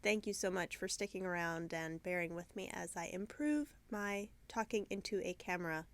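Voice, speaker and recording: Croaky, congested woman chatting casually. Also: on the low side, normal speed. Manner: chatty and rambling, self-deprecating, loses her train of thought. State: congested, croaky from sinus trouble. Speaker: yarn shop owner and podcast host. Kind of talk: casual video podcast chat. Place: her yarn shop, indoors. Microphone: camera mic, talking alone to camera.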